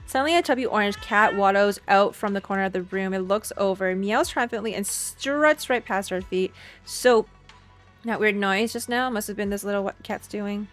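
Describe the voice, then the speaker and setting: A woman game streamer with a medium-pitched voice. She reads story text aloud and drops in casual reactions, with game music faintly behind her. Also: normal speed, a bit bright.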